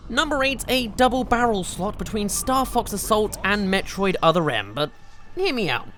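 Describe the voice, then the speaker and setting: A narrator presenting a scripted countdown review with emphatic, expressive delivery. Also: medium pitch, normal speed, slight background noise. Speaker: video game reviewer, host.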